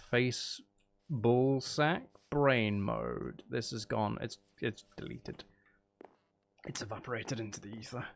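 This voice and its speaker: Male streamer, medium pitch, casual and playful, thinking aloud as he plays, slight background noise. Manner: mutters and counts aloud to himself; playful, self-deprecating, sweary. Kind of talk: casual gameplay commentary while building.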